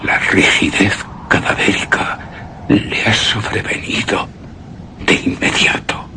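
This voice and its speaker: Deep, gravelly, haunted horror narrator. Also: male voice, fairly even intonation, a little hiss or hum, exaggerated voice. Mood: desperate, agitated. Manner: haunted, halting, dramatic, breaking into hushed whispers. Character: tormented male narrator keeping deathwatch over a shrouded woman. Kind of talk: Spanish-language suspense and horror radio drama monologue.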